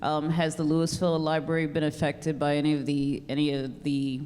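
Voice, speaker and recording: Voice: mid-range voice, completely flat delivery, moderate pace, a little mellow, clear, resonant tone. A community participant asking a question in a curious, friendly way. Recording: reverberant hall.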